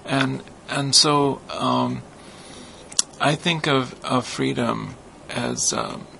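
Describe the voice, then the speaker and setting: A man's voice: mid-range voice, very animated, very slow, creaky, bright, forceful tone, slight background noise, low-quality recording. A man speaking as a guest on a radio talk, patiently explaining ideas with some fillers. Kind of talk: casual explanatory conversation.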